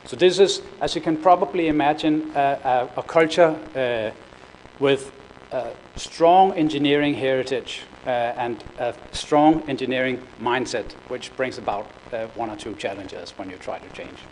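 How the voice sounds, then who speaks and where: A man's voice: medium pitch, moderate pace, darkish tone, a little hiss or hum, low-quality recording. A middle-aged man from Denmark with a Danish accent, giving a conference presentation with frequent fillers and restarts. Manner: hesitant, many fillers and repeated words. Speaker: conference speaker. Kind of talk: business presentation. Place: conference room.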